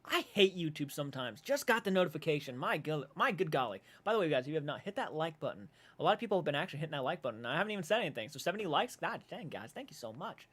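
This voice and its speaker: A man in his twenties hosting a casual livestream, reading viewer comments aloud and reacting with animated, swearing banter. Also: on the high side, very fast, hardly any pauses. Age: 20s. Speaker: livestream host. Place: dark room at home.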